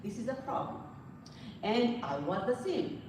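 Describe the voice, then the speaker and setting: A woman's voice: a little high, sing-song, measured pace. A middle-aged woman from Pakistan, a native Punjabi speaker with a Pakistani accent, giving an earnest, informal talk as a psychologist to a live audience. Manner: earnest, repeats points, mixes in Urdu phrases. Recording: echoey hall from far off with room noise.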